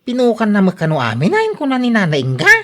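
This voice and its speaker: A tight-fisted older farmer with an Ilocano accent in a radio drama. His lively voice swings widely in pitch as he grumbles and exclaims, stubborn and always on the defensive. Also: fast. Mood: grumbling, agitated.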